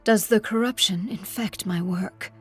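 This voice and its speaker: A woman playing a solemn, haunted priestess and sculptor in a video game, speaking with measured reverence in a husky voice. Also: mid-range voice, soft background music. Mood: haunted, doubtful. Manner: slow, measured, reverent speech. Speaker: religious character in a video game. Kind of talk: video game character dialogue.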